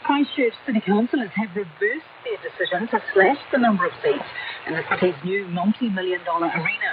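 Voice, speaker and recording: A woman's voice: mid-range voice, fairly quick, a crowd in the background. Distant shortwave New Zealand woman newsreader. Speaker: radio newsreader. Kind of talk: scripted news bulletin reading. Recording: noisy narrow-band shortwave radio broadcast, distant.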